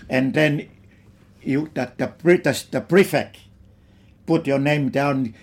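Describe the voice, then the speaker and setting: An older man from Tonga, recalling his childhood in a slow, plain way during an oral history interview. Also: medium pitch. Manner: slow, plain reminiscing with simple phrasing.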